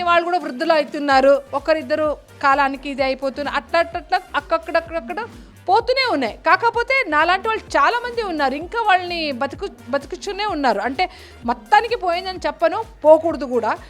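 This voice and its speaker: A woman's voice: extremely high pitch, animated, fast talker, a bit bright, soft background music. A middle-aged woman giving an informal interview answer, repeating words and mixing in English terms. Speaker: puppetry artist and teacher.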